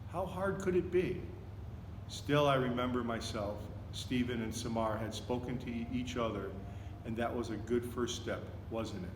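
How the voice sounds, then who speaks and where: A man reads a children's book aloud with expression. His voice sits at a middle pitch. The recording rings with long reverb and has clear noise behind it. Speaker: volunteer story reader. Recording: echoey, reverberant room with noticeable noise.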